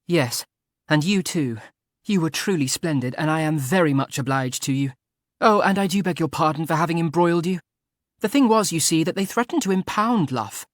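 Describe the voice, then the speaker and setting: Lively young lady, high and breathless, quick to laugh; male narrator puts her on. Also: no echo, clean studio sound, close to an everyday voice.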